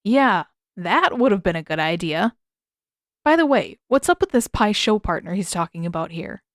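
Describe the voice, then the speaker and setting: A woman's voice: medium pitch, expressive, moderate pace, dry recording, studio quality, lightly acted. A woman voicing a sarcastic, irritable teenage boy in an exasperated, teasing manner for a story reading.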